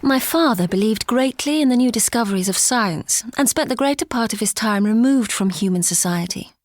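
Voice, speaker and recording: A woman playing a sheltered, wistful young gentlewoman in a gothic audio drama, speaking in a measured, literary way. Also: fairly high pitch, animated, no echo, lightly acted. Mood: wistful, haunted. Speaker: lead actress and narrator. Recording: audio drama with clear background ambience.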